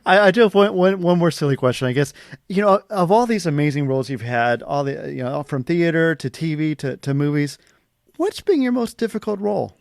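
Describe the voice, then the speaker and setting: Male interview host, slightly high voice, very quick, gushing and chatty, close dry sound. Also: animated, creaky, no room sound. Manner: effusive, gushing fan enthusiasm. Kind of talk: casual, chatty celebrity interview.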